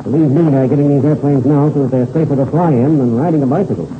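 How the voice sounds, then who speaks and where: A man's voice: mid-range voice, fast talker, lightly acted. An actor playing a confident seasoned pilot mentoring a boy in 1930s radio serial style, assured and slangy. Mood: assured, enthusiastic. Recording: scratchy, tinny old-time radio recording, noisy.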